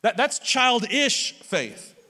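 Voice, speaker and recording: A male pastor preaching in a church with a high, bright, forceful voice that carries a long hall reverb. He talks loudly and keeps restarting and repeating words, piling on "like" and "right" as he pushes his point. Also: slow pace. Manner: stammering restarts, animated and repetitive; loud voice. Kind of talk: sermon. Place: church sanctuary.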